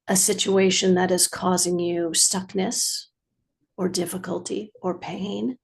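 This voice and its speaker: Female meditation guide. Unhurried, measured, soothing delivery with gravelly fry and generous pauses. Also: medium pitch. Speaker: meditation teacher.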